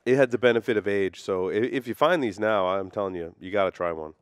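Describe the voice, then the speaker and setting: A man's voice: on the low side, fast talker, smooth, dry recording, clean studio sound. A man co-hosting a casual podcast, giving earnest, emphatic opinions. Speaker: podcast co-host. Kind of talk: casual chat reviewing cigars.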